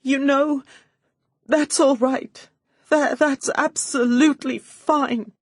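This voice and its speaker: Lady doing a scheming british woman detective acting sweet n helpless, high drawn-out coaxing voice, dry sound. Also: steady tone, no echo. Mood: mock tearful. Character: sly woman detective sweetly flattering, playing helpless starlet.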